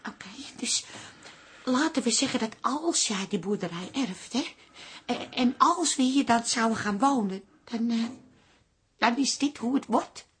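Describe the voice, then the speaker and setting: High-voiced, exasperated city wife. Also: female voice, a little slow, breathy edge. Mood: uneasy, polite. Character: exasperated city wife, uneasy among taciturn country in-laws. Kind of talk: radio drama acting.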